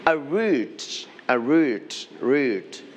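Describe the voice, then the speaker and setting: A male English teacher in a studio classroom, slowly leading a televised lesson and having students repeat words after him. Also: mid-range voice, big pitch swings, slight background noise.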